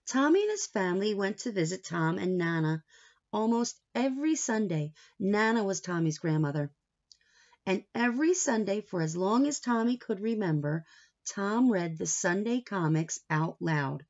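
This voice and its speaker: A woman's voice: mid-range voice, big pitch swings, normal speed, a little mellow, muffled recording. A woman reading a story aloud to young children like a teacher, expressively, with asides and questions left open for answers.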